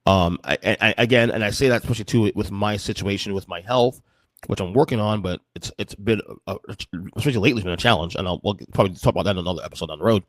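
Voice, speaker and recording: A man's voice: on the low side, racing, no room sound. A man in his forties hosting a solo podcast, talking casually with many restarts and fillers. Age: 40s. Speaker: podcast host.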